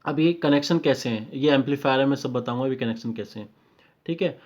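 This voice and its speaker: A man's voice: mid-range voice, a little fast, darkish tone. A man with an Indian accent giving an informal, step-by-step technical tutorial, explaining repetitively with short confirmations and mixing in English technical terms. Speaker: technical trainer. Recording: dull lo-fi microphone sound.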